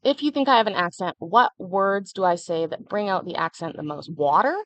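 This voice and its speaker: A woman's voice: lowish voice, fairly quick, a bit bright, no echo. A young woman hosting a casual livestream, chatting freely and answering viewers' comments as they come in. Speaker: livestream host.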